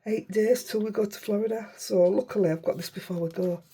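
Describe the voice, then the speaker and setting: Woman, Yorkshire accent, hoarse and croaky, gravelly, mellow dark tone, chatty and rambling. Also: mid-range voice, fairly even intonation, brisk. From Yorkshire, England. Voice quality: croaky, rough voice. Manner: chatty, rambling, self-interrupting. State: unwell, hoarse. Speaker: craft channel host. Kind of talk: casual crafting tutorial.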